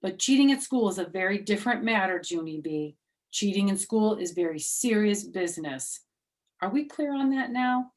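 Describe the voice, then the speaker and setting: A woman voicing a firm, patient male elementary school teacher in a storybook, speaking in a deliberate, admonishing way. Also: mid-range voice, expressive, voice pushed up, natural voice. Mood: firm, conciliatory.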